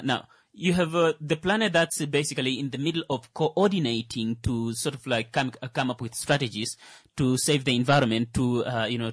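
A man in casual discussion works his way through an explanation, stopping often on fillers and restarting his sentences. His pitch is ordinary for a man. The sound is close and dry. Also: no room sound. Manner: hesitant, full of "uh" and restarts. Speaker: talk show co-host.

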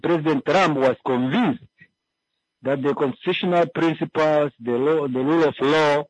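A man calling in to a discussion, speaking politely and haltingly as he gives an unscripted comment over dull lo-fi audio. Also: on the high side, no echo. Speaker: caller to a radio discussion.

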